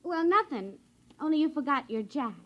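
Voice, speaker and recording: A woman's voice: high-pitched, expressive, normal speed. An actress playing a naive, plaintive, sly sixteen-year-old farm girl with a rural American drawl in an old radio drama. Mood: timid. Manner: folksy, hesitant, drawling dialect. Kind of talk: 1950s radio drama acting. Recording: noisy, muffled old radio broadcast.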